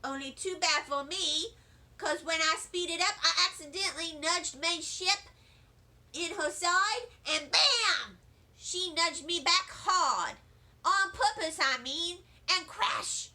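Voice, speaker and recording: A woman reading a children's chapter book aloud in the chatty, wide-eyed voice of a first grader. Her tone is high and bright, and she takes her time with every line. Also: expressive, takes it slowly. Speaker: storybook reader.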